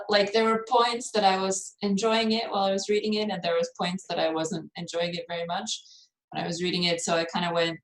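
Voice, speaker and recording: A woman talking casually and musingly in a book club discussion over a video call. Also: fairly low pitch. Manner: informal, musing, non-committal. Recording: roomy, distant mic, video call.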